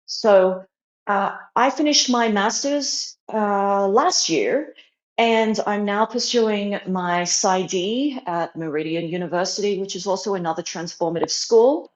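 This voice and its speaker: A woman's voice: medium pitch, takes it slowly. A young adult woman from Australia, born in China, introducing herself as an interview guest in an earnest, reflective way. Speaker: guest, psychology doctoral student. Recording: roomy, dull lo-fi online audio.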